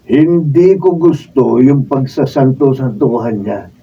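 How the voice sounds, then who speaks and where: A man's voice: medium pitch, lively intonation, slow pace, dark tone, slightly rough, full character voice. A Filipino man acting a proud, emotional young man in a radio drama. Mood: defiant. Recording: distant dull mic, faint noise.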